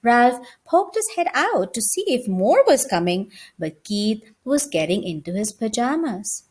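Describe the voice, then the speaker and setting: A woman reading a children's story aloud as an expressive narrator. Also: a little high, a little slow.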